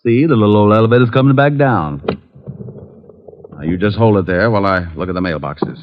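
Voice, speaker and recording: Actor as wisecracking low-voiced American private eye. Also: male voice, lively intonation, fairly quick, background noise, close to an everyday voice. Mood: bossy, sardonic. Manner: glib, dry, sardonic. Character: wisecracking flirtatious male private detective, cocky and unflappable. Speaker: actor playing lead and narrator. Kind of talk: 1940s-50s radio detective acting. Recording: muffled old radio recording with music.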